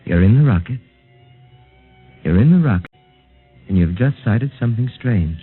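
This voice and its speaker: An actor playing a commanding, clinical scientist in a mid-century radio drama, questioning in a measured, authoritative and deliberate way. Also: male voice, fairly low pitch, lively intonation, breathy edge. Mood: commanding, hypnotic. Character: commanding male scientist, clinical and probing interrogator. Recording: tinny narrow-band vintage radio broadcast, music.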